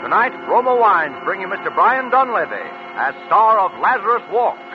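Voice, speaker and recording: A man's voice: high-pitched, big pitch swings, normal speed. A man announcing a radio show and its sponsor in a polished, emphatic 1940s style. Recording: dull 1940s radio recording, music behind. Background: music.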